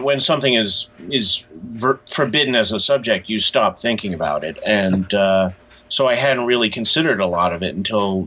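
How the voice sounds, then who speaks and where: Bright, raspy lower-voiced man, lo-fi sound. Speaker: interview guest, graphic novelist. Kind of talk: casual reflective interview answers.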